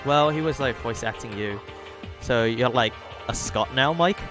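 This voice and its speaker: A young man streaming live, chatting with his viewers, thanking them and laughing off his own jokes. His voice sits at a middle pitch, casual and friendly in tone. Faint music plays quietly behind him. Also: measured pace. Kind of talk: live-stream gaming chat and reading aloud.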